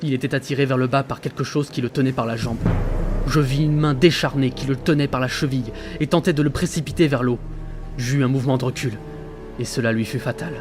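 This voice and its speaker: A man playing a frightened sailor who narrates his journal gravely, in dramatic audio fiction. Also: medium pitch, steady tone, fairly quick, lightly acted. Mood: tense. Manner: grave, haunted storytelling. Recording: loud music under the voice.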